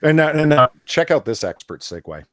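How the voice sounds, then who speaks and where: A man's voice: on the high side, fairly quick. A man hosting a casual live panel chat, opinionated and talking in bursts with frequent restarts. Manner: opinionated, animated, often restarts. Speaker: podcast host.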